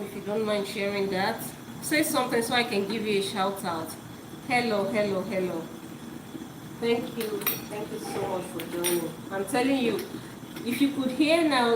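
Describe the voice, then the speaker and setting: A woman whose first language is Yoruba, hosting a live cooking stream and talking casually while she cooks in her home kitchen. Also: medium pitch. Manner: chatty, repeats words, talks while cooking. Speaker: cooking show host. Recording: echoey kitchen with loud noise.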